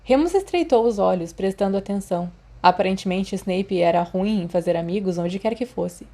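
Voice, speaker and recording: A Brazilian woman narrates fiction in a scripted reading. Her voice is mellow and dark, sitting in an ordinary middle pitch for a woman. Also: moderate pace. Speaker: audiobook narrator.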